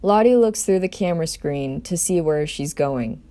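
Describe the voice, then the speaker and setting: A woman's voice: low-pitched, animated, moderate pace, warm tone. A woman doing an amateur table read as storyteller, narrating a script in her own voice.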